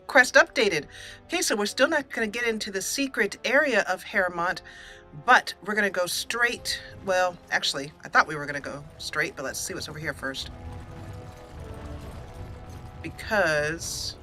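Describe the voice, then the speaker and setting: A woman's voice: mid-range voice, moderate pace, bright, forceful tone, soft background music. A woman hosting a let's play, giving playful roleplay commentary and dramatically voicing her character's lines.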